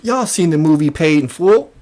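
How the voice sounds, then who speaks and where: A man's voice: a little high, animated, normal speed, a little mellow. A man hosting an internet show with mocking reaction commentary, emphatic and repetitive in a taunting way.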